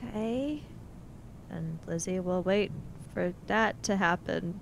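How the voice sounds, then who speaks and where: A woman's voice: slow pace, creaky. A woman narrating and role-playing her character in a tabletop role-playing game. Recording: low rumble and background noise.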